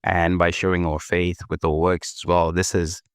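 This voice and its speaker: Male devotional host, very low voice, hoarse from a cold, gentle and unhurried, dry recording. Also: flat delivery, no echo. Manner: unhurried, gentle, self-correcting. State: recovering from a cold, slightly hoarse. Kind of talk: casual devotional reflection.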